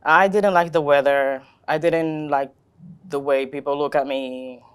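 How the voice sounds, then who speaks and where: A woman's voice: sits low, fairly even intonation, a little slow, clean tone. A woman from the Republic, giving short, hesitant answers to a student interviewer in a school studio. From Dominican Republic.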